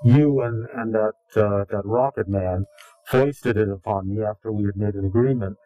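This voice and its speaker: Scornful, wordy man with a mellow, processed voice. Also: fairly low pitch, normal speed, dull audio. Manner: indignant, sarcastic, scornful, wordy. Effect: voice changer removed, slightly unnatural. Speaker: interview guest, anonymous whistleblower. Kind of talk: formal, combative interview answers.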